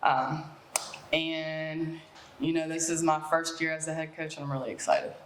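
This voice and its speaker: A woman's voice: low-pitched, normal speed, noisy background. Woman coach talking in an echoey room. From West Virginia, US. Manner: relaxed, many fillers and restarts. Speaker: head basketball coach. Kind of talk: media day interview answers.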